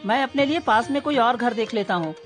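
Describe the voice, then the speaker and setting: Woman acting high-voiced, bossy elder brother. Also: lively intonation, brisk, soft background music, close to an everyday voice. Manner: brusque, bossy. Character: crafty, self-serving elder brother in a folk tale. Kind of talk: dramatised children's story.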